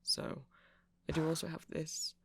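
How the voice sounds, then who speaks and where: Man playing a nerdy, creaky-voiced, awkward vampire. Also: fairly high pitch, monotone, measured pace, a bit bright, whispered, full character voice. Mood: earnest, rambling. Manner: hesitant, rambling, apologetic. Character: nerdy, awkward, affectionate male vampire tech enthusiast. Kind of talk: ASMR roleplay.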